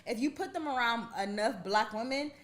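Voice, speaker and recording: A woman's voice: a little high, animated, moderate pace. A young woman co-hosting a podcast, talking in an outspoken, emphatic way and swearing freely. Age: young adult. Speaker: podcast co-host. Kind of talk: casual chat.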